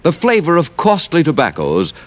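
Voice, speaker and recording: Emphatic, highly animated male radio pitchman. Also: moderate pace. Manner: polished, emphatic salesmanship. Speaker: commercial announcer. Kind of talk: 1950s radio advertisement read.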